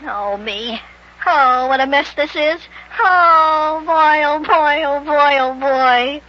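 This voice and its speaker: A man's voice: very high-pitched, slow, noisy background, pitched above the performer's own voice. A man voicing a fretful little elf boy in an old-time radio comedy, his voice sped up, muttering and protesting. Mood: dismayed, fretful. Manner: hesitant muttering, exclamatory protests. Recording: dull vintage radio recording.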